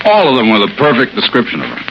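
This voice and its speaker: An actor playing a dry, teasing male police lieutenant who speaks deadpan, in 1950s radio drama style. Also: medium pitch, rapid-fire, highs cut off, slight background noise.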